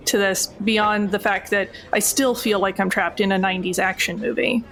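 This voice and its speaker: A woman's voice: mid-range voice, steady tone, moderate pace, a bit bright. A woman chatting informally and a little hesitantly as a player in a tabletop role-playing game. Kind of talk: casual game chat.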